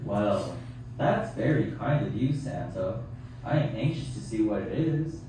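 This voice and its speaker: A man voicing a kind, fatherly Jesus puppet in a children's puppet show, gentle, encouraging and deliberate. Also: fairly low pitch, expressive. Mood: grateful, warm. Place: theatre, behind puppet stage curtain. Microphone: far camera. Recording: very echoey hall from far off, noisy.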